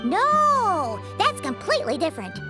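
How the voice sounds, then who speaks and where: Game fairy sidekick: squeaky, childlike, extremely high, swooping intonation, chatty and excitable. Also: female voice, measured pace, over music, exaggerated voice. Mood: encouraging. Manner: animated, exclamatory, expressive. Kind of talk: scripted video game voice acting.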